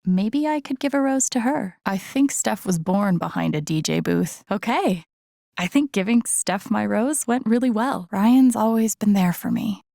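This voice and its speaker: Young woman game heroine, low-key n wry, bit of vocal fry, super dry close sound, kinda thinking out loud. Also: expressive, normal speed, no echo. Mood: warm, musing. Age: young adult. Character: introspective young woman, gentle, wry, quietly curious, empathetic. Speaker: video game protagonist. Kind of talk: naturalistic game voice acting, inner-monologue remarks.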